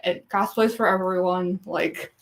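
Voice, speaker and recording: A young woman with a gruff, raspy voice and a goofy laugh, chatting casually with viewers on a livestream, rambling with lots of fillers. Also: on the low side, fairly even intonation, a little slow. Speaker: cosplay livestreamer.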